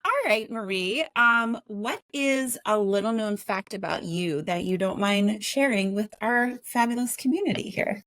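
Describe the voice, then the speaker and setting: A woman's voice: medium pitch, lively intonation, unhurried, hardly any pauses, fairly bright tone, no echo. Informal woman interviewer in a dry-sounding space. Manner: affirming, informal. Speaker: interview host. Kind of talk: conversational interviewing.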